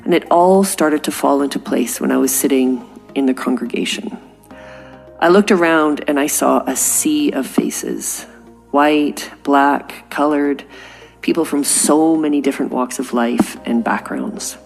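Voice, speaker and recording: Canadian woman, forties, podcast host, low voice, gravelly fry, reflective storytelling, faint music underneath. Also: unhurried, a little mellow. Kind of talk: scripted podcast monologue.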